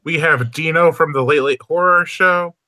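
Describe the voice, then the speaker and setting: A man co-hosting a casual video-call movie chat, reading viewer comments aloud in a relaxed way. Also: on the high side, moderate pace, dry recording.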